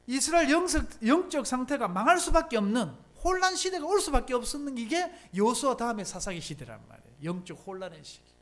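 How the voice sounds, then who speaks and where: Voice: high-pitched, very animated, quick pace, fairly bright tone. A pastor, preaching a sermon in a church in a conversational, emphatic way and often asking rhetorical questions. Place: church sanctuary.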